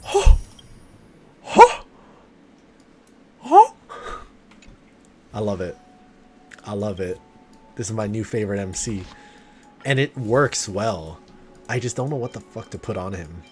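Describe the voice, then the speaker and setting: Low-voiced, excitable male game streamer. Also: slow pace, pauses often, a little hiss or hum. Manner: excitable, slangy, swears freely. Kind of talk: casual live-stream gameplay commentary. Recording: game music behind the voice. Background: video game music and sounds.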